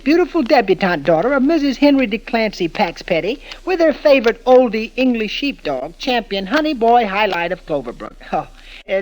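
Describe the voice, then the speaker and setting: A befuddled young husband in a 1930s radio comedy. His voice sits fairly high and swings widely as he grumbles, hesitates and mocks. It has the sound of an old broadcast. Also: poor audio.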